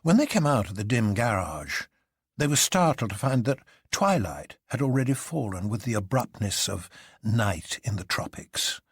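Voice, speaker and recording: A man's voice: fairly low pitch, very animated, moderate pace, breathy edge, dry recording, clean studio sound. A man reading a literary adventure story aloud as a dramatic narrator. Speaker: audiobook narrator.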